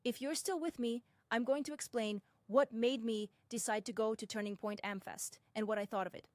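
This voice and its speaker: A young woman tells her own story in a fairly high voice. She speaks slowly and deliberately and drops in candid side remarks. The recording sounds dry and close. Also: fairly even intonation, no room sound. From Russia. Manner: measured, deliberate, with frank asides. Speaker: personal commentator. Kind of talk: first-person reflective narration.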